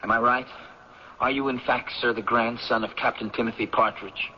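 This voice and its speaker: A man's voice: mid-range voice, fairly even intonation, normal speed, husky, lightly acted. Man playing a polite young professor, echoing hall. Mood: tentative, respectful. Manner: polite, tentative, earnest. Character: polite, earnest young male anthropology professor. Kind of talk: radio drama acting. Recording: echoing, dull, noisy radio recording.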